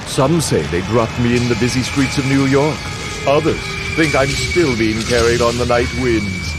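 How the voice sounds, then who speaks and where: An actor playing a sinister contest master in a video game, narrating dramatically in a theatrical, grandiose, measured manner. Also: male voice, medium pitch, natural voice. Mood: dramatic storytelling.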